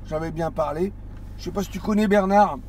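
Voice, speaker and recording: CB operator: high-pitched man, halting casual talk from his car. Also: a little mellow, a little hiss or hum. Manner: hesitant, many restarts and fillers. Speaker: CB radio operator. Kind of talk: casual radio chat. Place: parked car.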